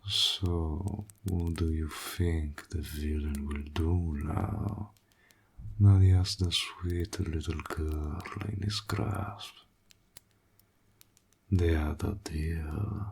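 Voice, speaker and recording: Man acting a gravelly, slow, seductive warlock villain. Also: low voice, a little hiss or hum, exaggerated voice. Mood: seductive, possessive. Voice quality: gravelly, husky, breathy voice. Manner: slow, deliberate, teasing, half-whispered. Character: seductive, menacing male warlock villain, smug and possessive. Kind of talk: intimate roleplay audio drama monologue.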